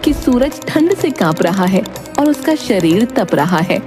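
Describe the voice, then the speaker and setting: A female storyteller reads scripted fairy-tale narration in a mid-pitched voice. Music plays clearly beneath her words. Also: big pitch swings, unhurried. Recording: music under the voice.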